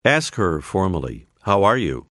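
A man narrating a scripted language course, clearly prompting the listener to repeat phrases. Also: sits low, sing-song, slow pace, a bit bright, no room sound. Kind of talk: scripted audio lesson instructions. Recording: dry studio voice-over.

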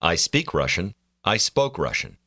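Deep-voiced man narrating a language course. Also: very animated, unhurried, pushed and bright, no room sound, studio quality. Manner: instructive, prompting the learner.

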